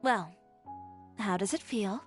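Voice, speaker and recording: Assertive young designer for a game: mid-pitched, very animated, proud, candid. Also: female voice, slow pace, soft background music. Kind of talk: video game voice acting.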